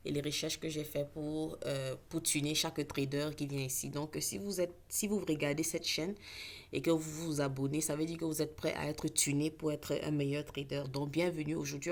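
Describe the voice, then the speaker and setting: Low-voiced woman teaching, English native. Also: darkish tone, a little hiss or hum. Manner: hesitant, many fillers and self-corrections. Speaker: trading teacher. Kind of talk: casual online lesson.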